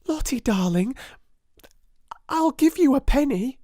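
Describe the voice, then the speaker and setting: A man's voice: very high-pitched, animated, slow, warm tone, dry recording, studio quality, voice pushed up, full character voice. A man reading a storybook, voicing an anxious young schoolgirl who pleads fretfully.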